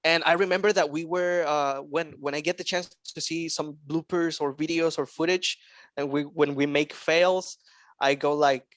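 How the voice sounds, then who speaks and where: A man's voice: fairly high pitch, steady tone, moderate pace, fairly bright tone. A man whose first language is Spanish, co-hosting a casual school podcast and telling stories animatedly, acting out his own thoughts. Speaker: podcast co-host, school staff. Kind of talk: casual chat.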